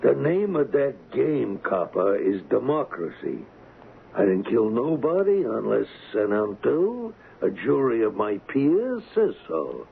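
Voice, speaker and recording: A man playing a cocky, wisecracking gangster in an old-time radio crime drama, slangy and taunting, with rough grammar. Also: medium pitch, sing-song, unhurried, phone-quality sound. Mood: sardonic, defiant. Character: cocky, wisecracking street-tough gangster hired as an agent. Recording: thin, band-limited, hissy old radio recording.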